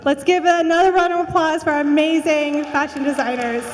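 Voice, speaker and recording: A woman's voice: sits high, steady tone, brisk. A woman emcee introducing designers and rallying the crowd for applause. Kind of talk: announcing to a crowd. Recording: reverberant hall with music.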